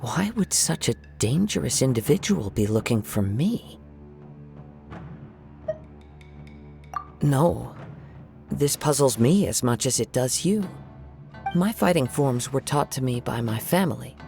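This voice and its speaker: A man playing a calm, courteous young wandering swordsman in a video game, gentle and reflective in formal wording. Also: mid-range voice, moderate pace, creaky, soft background music. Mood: thoughtful, puzzled.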